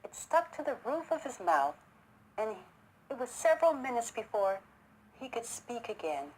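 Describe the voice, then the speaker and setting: A woman narrates a children's story in a high, highly varied voice. Her read-aloud is slow and expressive, recorded with some background noise. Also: poor audio.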